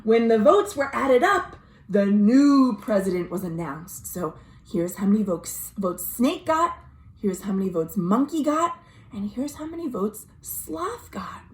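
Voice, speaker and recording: A woman librarian reading a story aloud to children in an expressive way, pausing to explain things simply. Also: mid-range voice. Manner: expressive, addressing young listeners directly. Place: indoors at home. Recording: roomy, echoey home recording.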